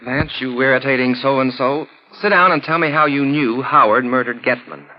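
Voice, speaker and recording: An actor playing a gruff, impatient official, the detective's friend, in a 1940s radio mystery, with blunt, testy questioning. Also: male voice, medium pitch, normal speed, natural voice. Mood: exasperated. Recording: dull, noisy 1940s radio recording.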